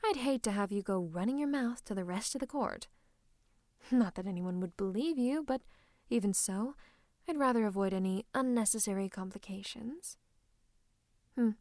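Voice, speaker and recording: A woman's voice: medium pitch, normal speed, darkish tone, no room sound, clean studio sound, lightly acted. A woman playing a scheming royal advisor and sorcerer in an ASMR roleplay, smug and mock-courteous, slipping into menacing whispers. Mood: coolly threatening. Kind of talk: ASMR roleplay, theatrical monologue to the listener.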